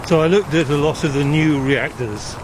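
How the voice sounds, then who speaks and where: Higher-voiced older man from South Wales, outdoors. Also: moderate pace. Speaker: interview guest, retired nuclear engineer. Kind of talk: explanatory conversation. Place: outdoors walking in a gorge. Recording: outdoor recording with clear traffic noise. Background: road traffic and outdoor ambience.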